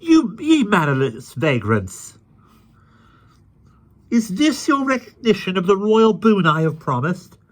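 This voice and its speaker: Man playing a high-pitched, indignant boy king. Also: very animated, unhurried, a bit bright, full character voice. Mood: indignant, threatening. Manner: haughty archaic speech. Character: indignant proud boy king, imperious and angry.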